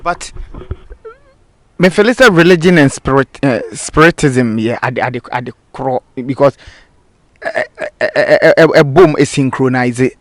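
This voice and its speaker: A man with a Ghanaian accent and Twi as his native language, hosting a casual interview with halting, hesitant phrasing. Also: mid-range voice, no room sound. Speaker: interviewer, host.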